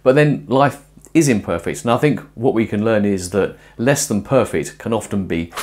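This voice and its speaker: A man in his forties talking to camera while cooking in a small home kitchen, conversational and musing, with lively emphasis. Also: fairly low pitch, normal speed. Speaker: presenter of a cooking and philosophy video. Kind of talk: casual explanatory talk to camera while cooking. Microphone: lapel mic.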